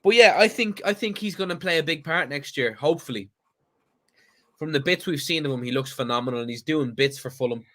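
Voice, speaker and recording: An Irish man with a bright, forceful voice pitched a little high jokes and teases his way through a football chat. He rambles, restarts his sentences, and keeps the tone loose and cheeky. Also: brisk. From Ireland. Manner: teasing, jokey, rambling with restarts. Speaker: podcast co-host. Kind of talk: casual banter and football chat.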